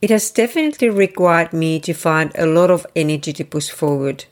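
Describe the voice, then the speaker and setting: Woman, Finnish accent, podcast host, low pitch, measured, formal. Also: animated, a little mellow. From eastern Finland. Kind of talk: scripted intro then interview.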